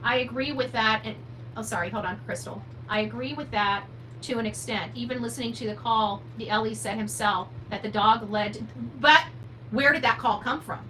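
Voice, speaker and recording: Woman, bright forceful voice, slightly high pitch, blunt opinionated livestream host, unscripted emphatic commentary. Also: normal speed, poor audio. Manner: blunt, opinionated, emphatic, asks rhetorical questions. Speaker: true crime livestream host. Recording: noticeable background noise with hum.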